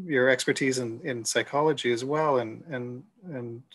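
A middle-aged man moderating a panel on a video call, talking haltingly with many fillers and restarts. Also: mid-range voice, fairly even intonation, fairly bright tone. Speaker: gallery moderator. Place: room at home. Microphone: video call webcam.

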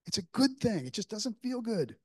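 A man in his sixties preaching a reflective, informal, conversational sermon with halting pauses into a lectern microphone in a church. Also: high-pitched, very animated, warm tone, breathy edge. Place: church sanctuary, at a wooden lectern. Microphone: microphone on a stand at the lectern.

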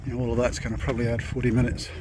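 A man's voice: mid-range voice, completely flat delivery, very fast. A man vlogging as he walks a long pilgrimage route, talking through his plans in a rambling, thinking-aloud way. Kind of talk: casual walking vlog. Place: outdoors on a rural dirt track. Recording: noisy outdoor walking recording. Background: rural outdoor noise.

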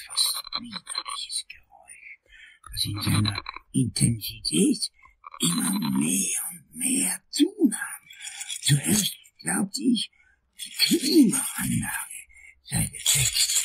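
Fussy older lawyer, radio drama, very raspy, slow, swooping intonation, halting, melodramatic. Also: male voice, on the high side, fairly bright tone, full character voice, low-quality recording. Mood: dramatic, haunted. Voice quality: breathy, raspy. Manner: halting, melodramatic, long-winded. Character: fussy, shaken older male lawyer with false teeth. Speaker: client, prosecutor character. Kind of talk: German crime radio play acting.

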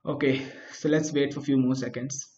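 A man's voice: mid-range voice, little pitch movement, fairly quick, warm tone. A man teaching a coding tutorial over his screen, talking through each step and often asking "right?" as he thinks aloud. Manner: frequent restarts and fillers while thinking aloud. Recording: echoey, dull home recording.

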